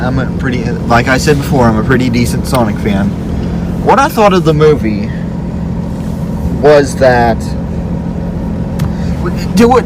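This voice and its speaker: A man hosting a casual group movie review, talking to camera emphatically and repeating himself for stress. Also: mid-range voice. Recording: loud traffic and vehicle noise.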